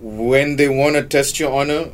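A man's voice: medium pitch, steady tone, normal speed. A man co-hosting a podcast, retelling something he read in a storytelling way. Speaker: podcast co-host. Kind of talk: casual chat.

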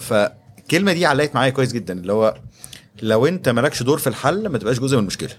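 An Egyptian man in his thirties talks as an interview guest in a conversational storytelling style. His delivery is very rapid, at an average male pitch, and English business terms are mixed into his speech. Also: sing-song, a little hiss or hum. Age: 30s. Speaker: podcast guest, startup executive.

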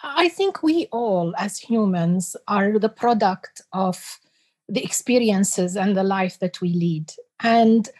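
A woman in her sixties from Iraq, giving slow, measured answers as an interview guest. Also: medium pitch, lively intonation, darkish tone, husky. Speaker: guest, heritage expert.

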